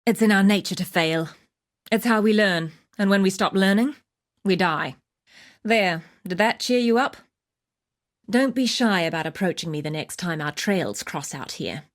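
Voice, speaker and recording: An actress playing a tough, wry wilderness huntress in a video game, speaking in a measured, dry, matter-of-fact way as she hands out hunting quests. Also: medium pitch, close to an everyday voice. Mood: wry, encouraging. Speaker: game character voice actor.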